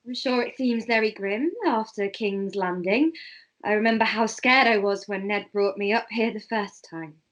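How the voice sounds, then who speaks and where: A woman's voice: medium pitch, fairly even intonation, normal speed, muffled recording, natural voice. A woman playing a gracious noble hostess, polite and earnest, in an amateur script table read. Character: gracious, slightly anxious noble lady and hostess.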